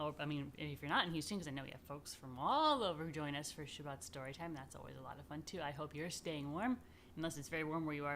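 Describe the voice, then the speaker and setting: A woman with a slightly low voice speaks as a rabbi hosting story time for children. She is chatty and playful, addressing young listeners directly and informally. There is some faint noise in the recording. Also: a bit bright.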